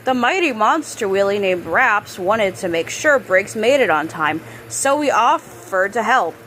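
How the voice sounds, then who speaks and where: Woman, storybook narration, unhurried pace, occasional stumbles, mid-range pitch, faint noise behind. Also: expressive, a bit bright. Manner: halting reader, stumbles and corrects words. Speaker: storybook reader. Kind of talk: children's book read-aloud.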